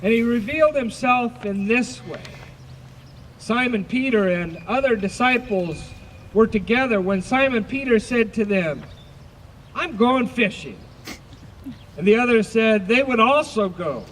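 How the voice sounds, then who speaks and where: A man narrating scripture for a live outdoor dramatization, projecting deliberately and repeating phrases. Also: extremely high pitch, expressive, fairly bright tone. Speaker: narrator of an Easter service dramatization. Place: outdoors by a lake. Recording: faint outdoor noise, birdsong. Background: birds and outdoor ambience.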